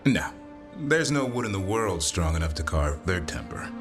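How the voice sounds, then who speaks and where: An actor playing a deadpan, dry-humoured male warrior in a fantasy video game. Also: low voice, moderate pace, over music. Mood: dry teasing.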